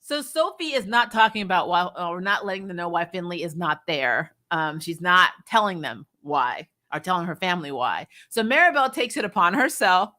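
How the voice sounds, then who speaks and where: A woman hosting a casual, opinionated video review, talking candidly to viewers and retelling things in an animated, mildly sweary way. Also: on the low side, moderate pace, hardly any pauses. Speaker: video host reviewing a TV episode.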